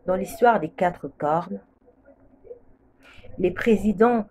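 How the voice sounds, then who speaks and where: A woman's voice: lowish voice, dark tone. A woman from the Democratic Republic of the Congo giving a prophetic religious testimony with solemn, repeated declarations. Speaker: preacher sharing a prophecy.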